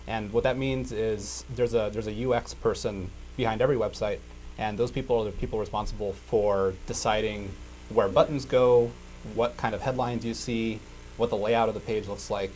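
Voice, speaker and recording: A man's voice: on the low side, steady tone, normal speed, a little mellow, a little hiss or hum, low-quality recording. A young man, a guest on a local show, explaining his web design work in an easygoing way. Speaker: guest, web user experience director. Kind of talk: interview answers.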